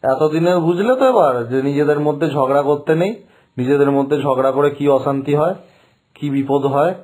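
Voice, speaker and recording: A man's voice: fairly high pitch, a little fast, darkish tone, natural voice, poor audio. A man playing an exasperated, fretful young newlywed son who scolds his family in a cartoon. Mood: scolding. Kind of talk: Bengali moral-story cartoon voice acting.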